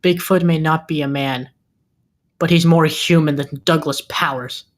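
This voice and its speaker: A woman's voice: deep voice, fairly even intonation, moderate pace, lightly acted. A woman playing a loyal, earnest best friend on the witness stand, speaking emphatically at a screenplay table read.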